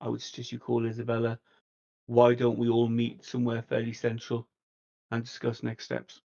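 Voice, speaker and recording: A man's voice: mid-range voice, completely flat delivery, moderate pace, vocal fry, dark tone. A man playing a tabletop roleplaying game, talking casually through his character's moves on dull audio.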